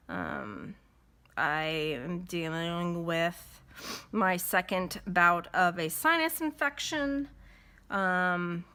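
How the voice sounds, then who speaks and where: A congested woman chatting casually as she stitches in a home vlog, in an unhurried, friendly way. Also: on the low side, pushed and bright. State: congested from a cold. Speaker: cross-stitch vlogger. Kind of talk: casual chat while stitching. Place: at home with windows closed.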